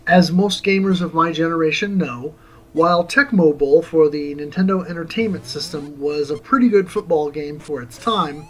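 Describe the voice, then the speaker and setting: Higher-pitched American man narrating over music. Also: fairly bright tone, rough recording. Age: middle-aged. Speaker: host of a retro game review series. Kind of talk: scripted narration, dramatic reading of a review. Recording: music under the voice.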